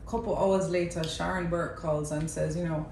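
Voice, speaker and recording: A young Jamaican woman casually telling a family story to camera, chatty and lively, laughing often between lines. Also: on the low side, moderate pace, creaky. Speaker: mother telling her son's birth story. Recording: echoey room with faint background noise.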